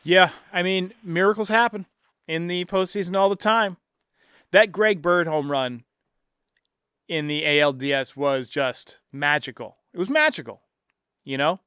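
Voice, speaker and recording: A man's voice: sits high, a little slow, sharp tone, clean tone, no room sound, clean studio sound. A man hosting a sports talk show, giving emphatic, blunt, profane opinions in a commentary style.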